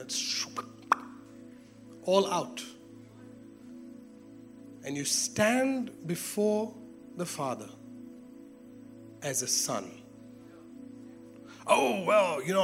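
A man preaching a sermon with emphatic repetition, rising to exclamations and dropping to hushed, intense tones. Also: high voice. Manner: emphatic, repeats phrases, drops to hushed tones.

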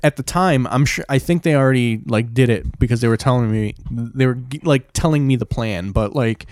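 A man's voice: medium pitch, a little fast, darkish tone, dry recording, studio quality. A man co-hosting a casual comedy podcast, telling a story in a rambling way with lots of fillers and restarts.

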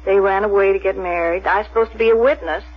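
A woman's voice: medium pitch, fairly even intonation, quick pace, close to an everyday voice. An actress playing a plain, middle-aged small-town woman, hesitant and wistful as she reminisces in a 1970s radio drama. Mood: nostalgic. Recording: dull vintage 1970s radio recording.

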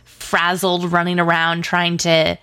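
A woman's voice: lowish voice, monotone, takes it slowly, pushed and bright, smooth, no echo. A woman talking casually as an interview guest, in a chatty, informal and enthusiastic way. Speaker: interview guest, audio drama producer.

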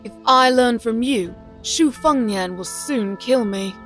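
A man's voice: very high-pitched, measured pace, a bit bright, faint music behind, voice pushed up. A man voicing a scornful, fiery young woman. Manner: scornful, snapping.

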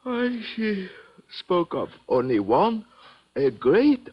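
A man's voice: high voice, very animated, slow pace, slightly rough, full character voice. A man acting a sleepy, dreamy rooming-house keeper in a 1950s radio drama. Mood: languid. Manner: sleepy, languid. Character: drowsy, dreamy male rooming-house keeper, philosophical and sluggish. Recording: dull vintage radio recording.

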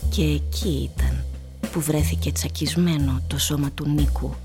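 A woman narrating a literary radio play with measured, dramatic storytelling. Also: deep voice, fairly even intonation, music in the background.

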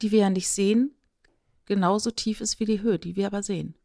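A woman's voice: mid-range voice, steady tone, fairly quick, creaky, mellow, no echo. Woman in casual podcast chat, dry sound. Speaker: podcast co-host.

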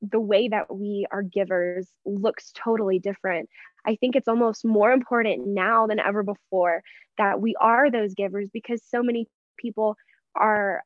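A young American woman from Texas speaking as an interview guest, earnest and expressive as she reflects at length in personal conversation. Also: mid-range voice, dry recording, phone-quality sound. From Texas, United States. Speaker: interview guest, church member.

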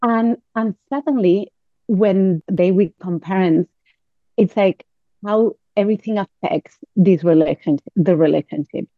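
A woman with a Catalan accent, a native Catalan speaker, talking as a guest on a podcast in a halting way with many fillers and restarts. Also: medium pitch, no room sound, phone-quality sound. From Catalonia, Spain. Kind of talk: podcast interview.

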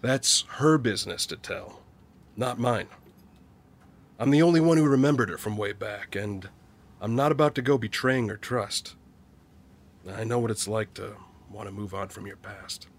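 An actor playing a weary, guilt-ridden, secretive middle-aged father in an audio drama, with halting delivery. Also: on the low side. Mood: guarded, reflective. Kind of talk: naturalistic audio drama acting.

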